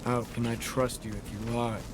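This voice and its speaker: Stern game father, mimicked by a young man, formal and reproachful, pitched down, noisy ambience behind. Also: flat delivery, moderate pace, close to an everyday voice. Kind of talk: video game dialogue acting.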